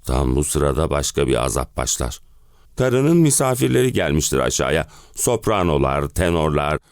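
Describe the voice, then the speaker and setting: A man's voice: very deep voice, very animated, normal speed, dry recording, studio quality, exaggerated voice. A male actor playing an exasperated, self-pitying middle-aged husband in a theatrical monologue, with melodramatic, comic complaining. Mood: aggrieved complaint. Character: exasperated, hen-pecked middle-aged husband ranting about summer-house misery. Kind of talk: theatrical one-man play monologue.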